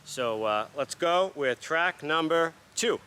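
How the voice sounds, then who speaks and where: A man's voice: fairly high pitch, lively intonation, slow pace. A man casually reviewing DJ sound gear, chatty and upbeat with plenty of fillers, during a sound check. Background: loud music playing.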